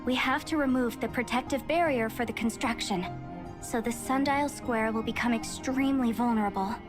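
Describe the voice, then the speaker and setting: Woman playing a high-voiced, courteous young official. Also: normal speed, close to an everyday voice. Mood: earnest briefing. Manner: polite, formal, briefing. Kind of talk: scripted game dialogue. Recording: game dialogue over background music.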